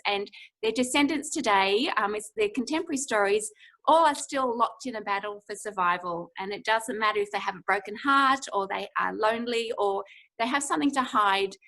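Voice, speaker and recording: A woman with an Australian accent and a slightly croaky voice, an author giving an earnest overview of her work. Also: mid-range voice, bright, forceful tone, rough recording. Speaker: romance author, panel guest. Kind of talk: prepared, earnest book overview. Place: at home on a video call.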